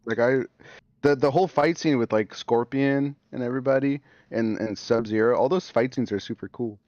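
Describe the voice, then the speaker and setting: A man's voice: mid-range voice, moderate pace, darkish tone, dry recording, highs cut off. A man chatting casually as a podcast guest, rambling with lots of fillers and restarts.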